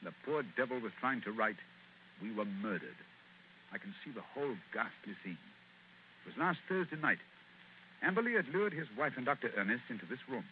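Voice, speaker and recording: An actor playing a brisk, confident detective with crisp, ironic delivery in a 1940s radio play. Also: male voice, mid-range voice, sing-song, slight background noise, lightly acted. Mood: wry. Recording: tinny old radio broadcast.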